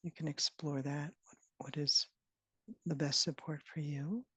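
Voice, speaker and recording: A woman leading a guided meditation as a teacher, speaking in gentle, unhurried phrases with long pauses. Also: low-pitched, fairly even intonation, breathy edge, no room sound. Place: online retreat session, close dry sound. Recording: dull, band-limited online call audio.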